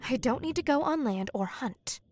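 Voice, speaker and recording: A woman's voice: sits high, expressive, normal speed, a little mellow, slight background noise. A woman playing a guarded, evasive young mermaid in an audio drama, hesitant and defensive. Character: guarded young female man-eating mermaid, evasive, prickly. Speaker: voice actor.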